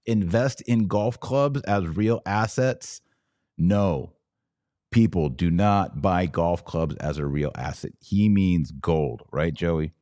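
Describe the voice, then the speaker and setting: Deep voiced guy from texas doing a live podcast, dry studio sound, casual but emphatic, chatting with commenters. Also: slow, warm tone, no room sound. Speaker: podcast host.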